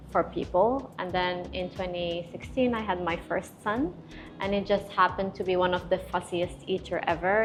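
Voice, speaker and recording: A middle-aged woman from Morocco, a guest entrepreneur in a casual panel chat, telling stories in an animated way with emphatic repetition. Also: medium pitch.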